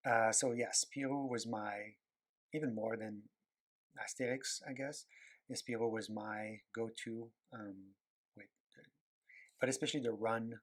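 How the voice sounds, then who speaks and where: A man's voice: on the low side, fairly even intonation, a little slow, pauses often. A man with a Quebec French accent, a native French speaker, chatting casually and searching for words as he talks while drawing. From Quebec, Canada. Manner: rambling, searching for words, many fillers. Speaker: animator and illustrator.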